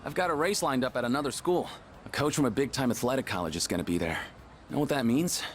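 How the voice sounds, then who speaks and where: Young man as earnest teenage boy, casual, medium pitch, sporty student, video game character. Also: animated, quick pace, a little hiss or hum, close to an everyday voice. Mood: friendly, upbeat. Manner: casual, chatty, sincere.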